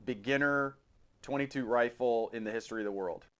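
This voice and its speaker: A man's voice: medium pitch, fairly quick, a bit bright. A middle-aged man with an American accent hosts a podcast in a casual, chatty style with a wry, jokey streak. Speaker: podcast host. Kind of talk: casual chat about firearms.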